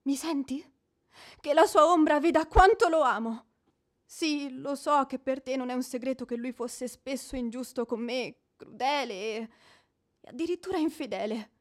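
Actress as a high-voiced, melodramatic young widow. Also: female voice, moderate pace, natural voice. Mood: solemn, wounded. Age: young adult. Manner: declamatory, mournful. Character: melodramatic, inconsolable young widow, proud and wounded. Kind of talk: theatrical radio drama acting.

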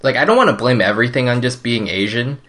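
A man's voice: medium pitch, animated, fast talker, sharp tone, a little hiss or hum. A young man whose first language is Cantonese, chatting casually in a laid-back way, full of fillers and hedges. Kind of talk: casual chat.

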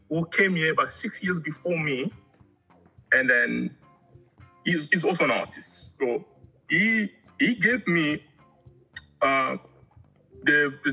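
A middle-aged man from Angola, raised in Congo, chatting casually as an artist guest in an interview, halting and often repeating words. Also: fairly high pitch, bright, forceful tone, husky, dull audio.

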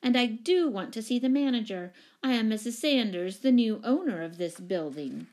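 A woman voicing a firm, businesslike mother with a crisp, direct and insistent manner in a storybook reading. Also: fairly high pitch, animated, normal speed, natural voice. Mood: exasperated.

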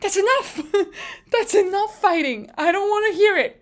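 A fed-up mother shouts at bickering children, as a young woman puts her on. Her voice jumps up high and loud, pleading and scolding at once, clearly at the end of her patience. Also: big pitch swings, moderate pace. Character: exasperated mother scolding squabbling kids.

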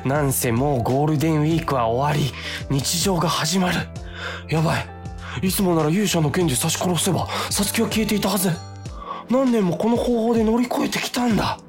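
A man's voice: fairly high pitch, steady tone, brisk, soft background music, lightly acted. A man playing an earnest, flustered office worker who sees himself as a hero, acting and narrating a radio drama. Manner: dramatic inner monologue, earnest.

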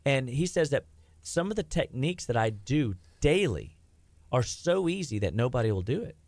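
A man's voice: medium pitch, sing-song, moderate pace, a little mellow, no room sound. Rambling middle-aged man, dry sound. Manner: rambling, many restarts and fillers. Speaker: health practitioner with patients. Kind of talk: casual podcast chat.

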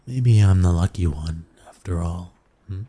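A man voicing a seductive, smug incubus demon in an intimate ASMR roleplay, teasing and coaxing his listener with pet names in a husky voice. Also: measured pace, mellow. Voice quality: low, breathy, husky. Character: seductive male incubus demon, smug, dominant, soothing lover.

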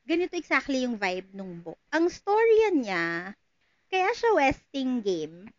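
A woman's voice: sits high, big pitch swings, a little slow, darkish tone, no room sound, dull audio. A woman with a Filipino accent chatting casually about books as a podcast co-host, switching between English and Tagalog.

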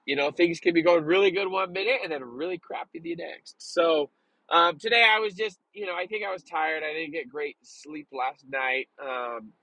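A young man with a slightly high, mildly congested voice hosts a casual solo podcast. He talks informally and openly, feeling his way through his thoughts in a rambling manner. The sound is close and dry. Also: normal speed, sharp tone, dry recording, poor audio. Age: young adult. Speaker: podcast host.

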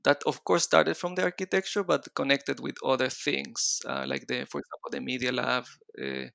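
A middle-aged man speaking with a blend of Israeli and Chilean Spanish accent, his voice carrying a gravelly edge. He talks as an interview guest, hesitant and restarting often, and the sound is close and dry. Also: mid-range voice, lively intonation, normal speed, bright, forceful tone, no echo. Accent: Israeli and Chilean Spanish mix. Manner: hesitant, frequent restarts and fillers. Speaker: guest designer. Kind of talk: casual interview conversation.

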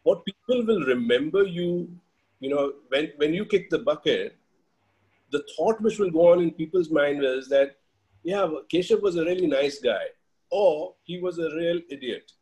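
Higher-pitched Indian-accented man, reflective storyteller. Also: animated, moderate pace, darkish tone, rough recording. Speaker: interview guest, HR leader. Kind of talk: conversational personal storytelling.

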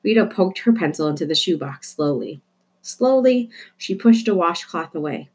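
Woman reading a children's book aloud, lowish and breathy, playful emphasis. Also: moderate pace. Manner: expressive storytelling, playful emphasis. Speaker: reader.

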